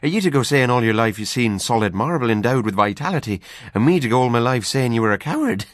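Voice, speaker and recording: A man's voice: medium pitch, lively intonation, quick pace, dry recording, studio quality, pitched above the performer's own voice, lightly acted. A man voicing a hearty, scoffing Irish doctor with a teasing, bluff manner in a radio story reading. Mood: bluff insistence.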